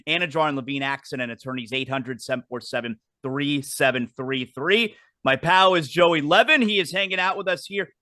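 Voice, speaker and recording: A middle-aged man hosts a casual wrestling show. His voice sits high and bright, and he rambles cheerfully, doubling back on his words. The sound is dry, with no room around it. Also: normal speed, no echo. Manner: chatty, repeats words when restarting. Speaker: podcast host.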